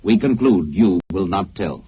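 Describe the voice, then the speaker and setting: A low, husky alien captor speaks with formal, unfeeling logic. The phrasing is stilted and repetitive, in the manner of a 1950s radio drama. The recording is dull and aged. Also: male voice, animated, a little slow, heavily acted. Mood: flat, logical. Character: coldly logical male alien captor interrogating a human prisoner. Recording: muffled old radio broadcast recording.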